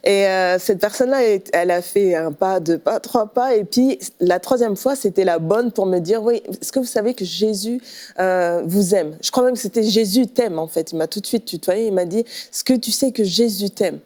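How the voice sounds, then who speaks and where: A middle-aged woman from the Paris region with a French accent, telling her personal testimony conversationally, often pausing to rephrase. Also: medium pitch, dark tone. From Paris region, France. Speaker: pastor, guest giving testimony.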